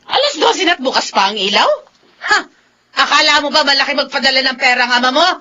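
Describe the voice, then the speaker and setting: A woman's voice: high-pitched, expressive, fast, sharp tone, highs cut off, a little hiss or hum. A woman playing a harsh, nagging elderly grandmother in a Filipino radio drama, scolding sharply and indignantly. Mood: stern, scolding.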